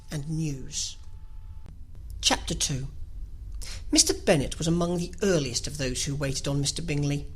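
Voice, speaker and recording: A woman reading a literary audiobook as an expressive narrator. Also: low voice, husky, rough recording. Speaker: audiobook reader.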